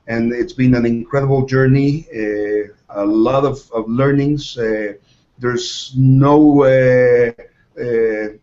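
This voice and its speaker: A middle-aged man from Mexico talks through his answers in a measured way. He starts over often and pauses on fillers. The recording sounds dull and lo-fi. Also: medium pitch, a little mellow. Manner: hesitant, many fillers and restarts. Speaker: ad agency president, guest. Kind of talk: interview answers.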